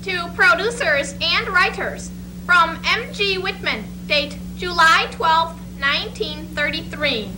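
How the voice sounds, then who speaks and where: A woman's voice: very high-pitched, slow. A young woman giving theatrical scripted narration in readers theatre, on a noisy stage recording. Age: young adult.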